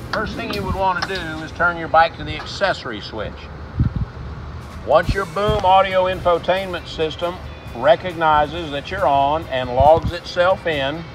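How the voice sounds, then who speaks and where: A man in his fifties presenting a plain-spoken, step-by-step how-to as a service advisor, recorded outdoors. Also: fairly high pitch. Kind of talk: instructional how-to demonstration. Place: outdoors in a dealership parking lot.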